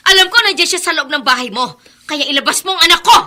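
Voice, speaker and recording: A woman's voice: high-pitched, animated, quick pace, pushed and bright, heavily acted. An actress playing an anguished, fiercely protective mother in a melodramatic radio drama, with emotional delivery. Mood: fierce defiance. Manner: emotional, pleading and scolding. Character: anguished, fiercely protective mother who runs a small eatery.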